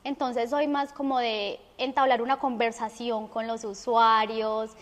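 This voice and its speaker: High-voiced young Colombian woman, reverberant. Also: little pitch movement, a little slow. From Armenia, Colombia. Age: young adult. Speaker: interview guest. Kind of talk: conversational interview answers. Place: indoor studio set. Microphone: lapel mic. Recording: reverberant studio room.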